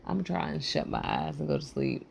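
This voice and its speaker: A sleep-deprived woman with a hoarse, deeply gravelly voice hosts her own motivational podcast. She rambles casually and encouragingly, with a raspy, froggy edge to every word. A faint hiss sits under the recording. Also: huge pitch swings, normal speed, a little mellow. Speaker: podcast host.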